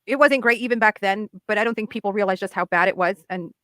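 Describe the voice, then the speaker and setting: A candid woman co-host whose first language is Portuguese. She speaks fast and at an average pitch. The sound is dry and close. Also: fairly bright tone, no room sound. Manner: candid, chatty, sprinkles fillers and laughs. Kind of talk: casual chat.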